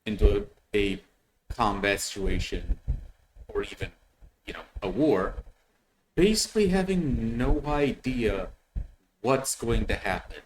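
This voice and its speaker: A man with a fairly low voice gives casual reaction commentary as a host. He talks hesitantly, repeating words as he gathers his thoughts. There is faint noise behind him. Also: expressive, a little slow, pauses often, rough recording.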